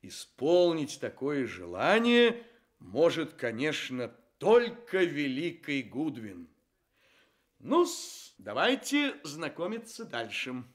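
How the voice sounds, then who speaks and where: Lively, courteous fairy-tale storyteller. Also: male voice, fairly high pitch, exaggerated voice. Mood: amused, formal. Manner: courteous, theatrical, drawn-out emphasis. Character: courteous, playful male storyteller addressing fairy-tale characters. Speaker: storyteller and host. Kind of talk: Soviet children's radio play acting.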